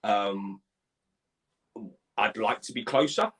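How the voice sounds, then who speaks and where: A man in his forties from Ireland, telling his life story in a live interview, halting, with many restarts and repeated words. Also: on the low side, a bit bright, slightly rough. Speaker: guest.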